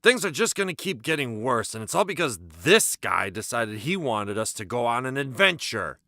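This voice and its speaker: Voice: very animated, normal speed, bright, forceful tone, dry recording, studio quality. An actor playing a bitter, blaming young male in an amateur script read, grumbling with exasperation. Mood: exasperated, bitter. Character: bitter, blaming, sharp-tongued young male named Norrin. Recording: noisy home microphone with rumbling hiss.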